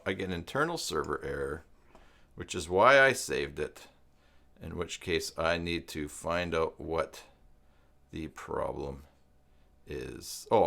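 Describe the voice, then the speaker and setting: A man's voice: low-pitched, creaky, a bit bright. A man hosting a casual live tutorial, thinking aloud and rambling as he demonstrates and chats with viewers. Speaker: live stream host and web tutorial instructor. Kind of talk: casual live screen-share tutorial with chat.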